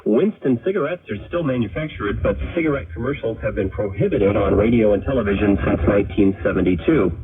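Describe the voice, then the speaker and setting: An American man hosting a radio program, reading scripted narration in a measured, explanatory way to introduce archive recordings. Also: lowish voice, lively intonation, slightly rough, narrow, tinny audio. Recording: band-limited shortwave radio sound.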